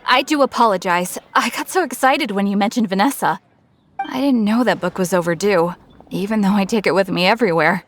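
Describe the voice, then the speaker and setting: A woman's voice: medium pitch, fairly quick, slight background noise, natural voice. A woman playing a cheerful, eager shopkeeper in a video game, gushing and polite. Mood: apologetic. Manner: bright sales patter, gushing, polite. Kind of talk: English video game voice acting.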